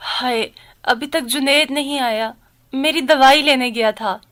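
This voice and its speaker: An ailing mother in a radio play. She speaks slowly in a high, plaintive voice, anxious yet stoical. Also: fairly bright tone. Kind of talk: radio drama acting.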